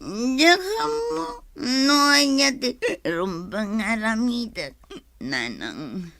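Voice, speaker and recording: Woman acting high-pitched, heartbroken drunken young woman. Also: sing-song, drawn-out words, vocal fry, fairly bright tone, dry recording, exaggerated voice. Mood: grieving. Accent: Filipino, Ilocano. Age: young adult. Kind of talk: melodramatic audio drama acting.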